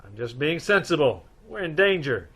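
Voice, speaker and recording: Man playing a bright-voiced wisecracking detective. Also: fairly high pitch, big pitch swings, normal speed, husky, heavily acted. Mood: cautious. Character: dry, wisecracking, level-headed married private detective. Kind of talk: old-time radio detective acting.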